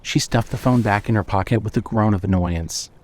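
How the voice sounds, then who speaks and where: A man narrating scripted fiction as a storyteller, in a measured way. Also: fairly low pitch.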